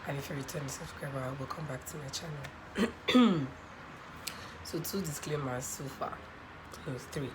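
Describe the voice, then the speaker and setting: A woman from Nigeria with a croaky voice from a cold, chatting casually on camera about a skincare product and often adding "um" and "okay". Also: deep voice, normal speed, pauses often. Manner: chatty, many ums and okays. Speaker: skincare vlogger. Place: home room by a sunny window near a road. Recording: clear traffic noise behind. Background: passing cars.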